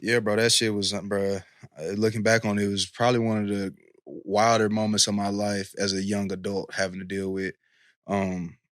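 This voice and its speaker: A man in his thirties with a lowish voice tells his story candidly, full of slang and fillers. The sound is dry and close, as in a small studio. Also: level tone, normal speed, fairly bright tone, no echo. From Kansas City, USA. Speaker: podcast guest. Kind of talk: casual chat.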